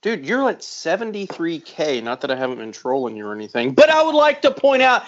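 A male co-host with a slightly high voice, joking and profane in excited podcast chat. The recording is dull and lo-fi. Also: brisk.

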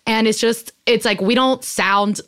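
A woman with a Miami Cuban accent chats quickly and expressively. She fills her speech with "like" and piles on her points in a casual group conversation. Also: on the high side. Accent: Miami Cuban American. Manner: quick, expressive, uses "like" a lot. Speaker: actor and podcast co-host.

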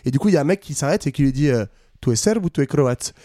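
A male co-host chats quickly in a dry-sounding recording. He is colloquial and hesitant, often wandering off into asides as he explains. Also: a little high, animated, dark tone, no echo. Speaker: co-host of a history discussion. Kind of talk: casual chat.

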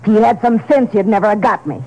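A woman's voice: mid-range voice, quick pace, a little mellow, dull audio, close to an everyday voice. An actress playing a sullen, defiant female crook in a 1950s radio drama, talking brash street slang. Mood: bitter. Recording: vintage radio broadcast.

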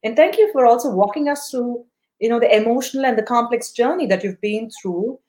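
A woman's voice: fairly high pitch, lively intonation, fairly quick, dark tone. A woman hosting a podcast interview, encouraging and praising her guest, with many fillers. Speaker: podcast host.

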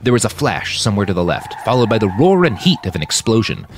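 Low-pitched man narrating dramatic fiction. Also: quick pace, a little hiss or hum. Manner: expressive, shouts out characters' lines. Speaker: audiobook narrator reading own fiction podcast.

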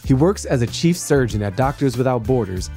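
A man hosting a podcast, reading a scripted introduction and asking interview questions in a measured, earnest way. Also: mid-range voice, lively intonation, dark tone, faint music behind. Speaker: podcast host.

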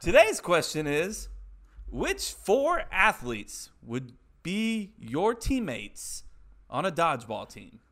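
Animated, slightly high young man. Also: slow. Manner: mock-announcer delivery, profane. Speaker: podcast co-host running a segment. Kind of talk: casual podcast banter.

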